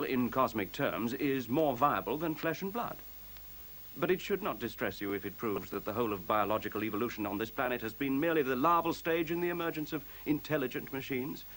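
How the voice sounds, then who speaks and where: A man playing a newborn machine intelligence in a science fiction radio play, speaking in measured, formal, pedantic sentences with cool, superior logic. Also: medium pitch, a bit bright, poor audio. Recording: faint hiss, 1970s radio recording.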